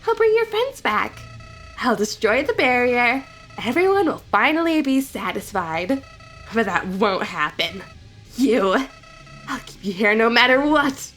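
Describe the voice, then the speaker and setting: A woman's voice: a little high, big pitch swings, a little slow, fairly bright tone, soft background music, heavily acted. A woman voicing a sneering, cruel talking flower who mocks and taunts, in a fan dub of a game. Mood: menacing. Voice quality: mocking, taunting. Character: sneering evil flower, boyish, cruel and gleeful.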